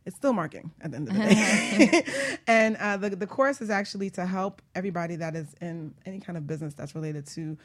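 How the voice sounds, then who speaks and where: A woman's voice: on the low side, brisk, hardly any pauses. A woman hosting a casual radio talk show, chatting informally and promoting things as she goes.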